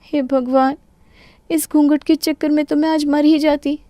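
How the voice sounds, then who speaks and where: A woman's voice: little pitch movement, moderate pace, dark tone, no echo, lightly acted. A woman voicing a young modern bride in a cartoon story, apologetic and pleading in a girlish, dramatic way. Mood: worried. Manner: pleading, apologetic, high-pitched girlish delivery. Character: young modern Indian bride, meek outside, determined and dramatic. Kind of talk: Hindi cartoon story dialogue.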